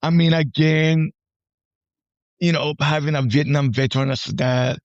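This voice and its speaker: A man's voice: on the high side, steady tone, no room sound, dull audio. A man in his forties from Puerto Rico, chatting casually as a guest and often repeating words. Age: 40s. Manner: halting, repeats words, often checks with "right?". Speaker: podcast guest, army veteran. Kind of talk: casual personal conversation.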